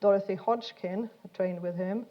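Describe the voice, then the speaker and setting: A woman's voice: medium pitch, steady tone, normal speed, dull audio. A woman giving an informal science lecture in an explanatory, hesitant way, often repeating words as she goes. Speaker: speaker giving a talk.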